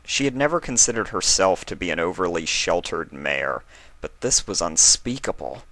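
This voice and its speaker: A man's voice: sits low, animated, moderate pace, bright, forceful tone, no echo, poor audio. A man reading a fan fiction story aloud as a scripted narrator.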